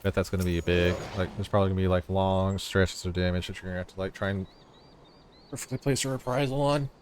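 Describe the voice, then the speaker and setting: A man's voice: low-pitched, fairly even intonation, fast talker, slight background noise. A man giving casual gameplay commentary as a streamer, informal and half talking to himself, with some swearing. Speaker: game streamer. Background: game music.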